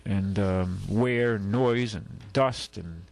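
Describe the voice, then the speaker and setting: A man's voice: fairly low pitch, slow, a little mellow. An older man hosting a call-in radio talk show, rambling and folksy with many restarts. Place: radio studio.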